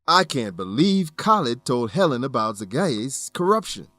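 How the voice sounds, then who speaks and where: A man's voice: medium pitch, big pitch swings, moderate pace, fairly bright tone, dry recording. A man narrating a radio drama and English lesson as host, explaining expressively in scripted, learner-friendly sentences.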